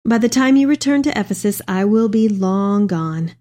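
Elderly devout mother played by a woman for dramatic letter readings: loving, earnest, measured, warm dark tone. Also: mid-range voice, animated, lightly acted. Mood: gentle farewell. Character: elderly Mary, tender devout mother writing to her son.

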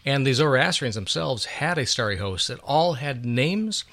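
A man's voice: mid-range voice, animated, moderate pace, a bit bright, dry recording. A man hosting a reflective radio program, explaining things and addressing listeners in an earnest way. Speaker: radio show host and storyteller.

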